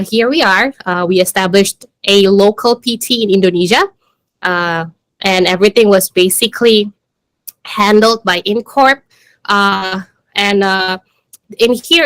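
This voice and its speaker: A woman speaks as a startup manager presenting to a business audience. Her delivery is informal and conversational, with a hesitant flow full of small filler phrases. Her pitch sits in a middle range for a woman, and the sound is dry with little room echo. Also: fairly bright tone. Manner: hesitant, conversational delivery with frequent "you know" and "like".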